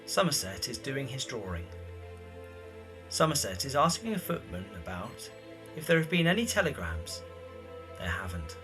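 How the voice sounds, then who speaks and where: A man narrating the scenes of an audio drama from a script. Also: mid-range voice.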